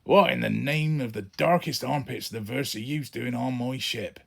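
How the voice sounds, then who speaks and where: A man's voice: medium pitch, normal speed, vocal fry, a bit bright, pitched above the performer's own voice. A man voicing a middle-aged, roguish space pirate father with folksy, colloquial talk in a children's audiobook. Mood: shocked.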